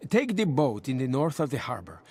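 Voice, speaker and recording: A learned herbalist in a fantasy game, earnest and pious. His pitch moves in wide, lively swings as he explains with scholarly care, cautious and deliberate. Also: breathy edge. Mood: scholarly, intrigued. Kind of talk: video game dialogue.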